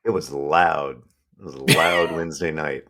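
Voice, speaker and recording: A man co-hosting a casual podcast, his voice hoarse and raspy from yelling, joking and laughing as he talks. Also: extremely low pitch, steady tone, normal speed. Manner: joking, laughs often, many restarts. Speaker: podcast co-host. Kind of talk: casual chat.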